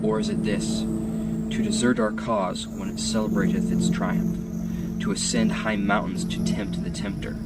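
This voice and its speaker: A man giving a solemn, measured literary reading in a declamatory, rhetorical manner, as an audiobook narrator. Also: lowish voice, level tone, heavy background noise. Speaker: audiobook reader. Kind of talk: solemn literary reading of archaic philosophical text. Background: music and sound design behind the voice.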